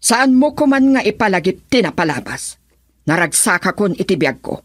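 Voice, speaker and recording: A woman's voice: mid-range voice, big pitch swings, normal speed, dry recording, clean studio sound. An actress playing a proud, guarded young woman in an Ilocano radio drama. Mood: curt, defensive.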